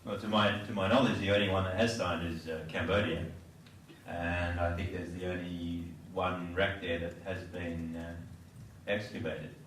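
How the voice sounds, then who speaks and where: Flat, deep-voiced man from a distance. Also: unhurried. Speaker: panelist, shipwreck expert. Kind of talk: answering a panel question. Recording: distant, echoey hall with noise.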